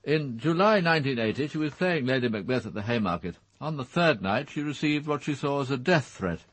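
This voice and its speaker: An actor playing a blunt titled English gentleman with an upper-class accent and clipped manner in a radio drama. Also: medium pitch, lively intonation, brisk, dry recording, lightly acted. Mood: blunt, businesslike. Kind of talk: British radio drama acting.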